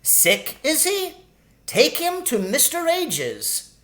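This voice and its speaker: A man voicing a tiny, sharp-witted, short-tempered lady shrew from a storybook in a brisk, exclaiming manner. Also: very high-pitched, huge pitch swings, voice pushed up, exaggerated voice. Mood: gruff sympathy, muttering. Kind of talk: storybook character voice in an audiobook reading.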